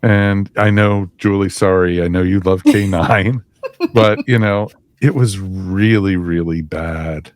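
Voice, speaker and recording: A man with a fairly low voice chats casually as a podcast panelist. The recording is dry, with no room around the voice, as he gives his review in an informal way. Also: slow pace, dry recording. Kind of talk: casual chat reviewing audio dramas.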